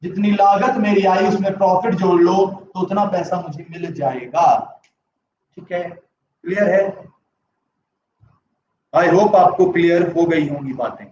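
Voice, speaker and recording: Man, economics teacher, sore throat, high voice, echoey and distant dull recording. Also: unhurried, pauses often, a little mellow, slight background noise. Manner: mixes Hindi with English terms, asks students yes-or-no questions. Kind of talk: live online class lecture.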